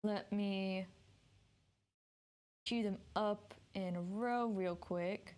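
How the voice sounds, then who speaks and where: A woman's voice: mid-range voice, steady tone, extremely slow, darkish tone. A woman streaming games, chatting informally and thinking aloud in a rambling way. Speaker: game streamer. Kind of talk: casual livestream chat.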